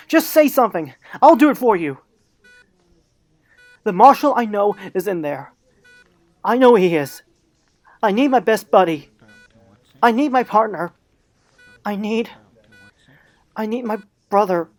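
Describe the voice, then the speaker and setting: A woman's voice: mid-range voice, lively intonation, a little mellow, natural voice. A woman voicing an earnest, hot-tempered male German shepherd pup in an emotional cartoon fan drama. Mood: desperate, pleading. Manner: intense, halting, emotional.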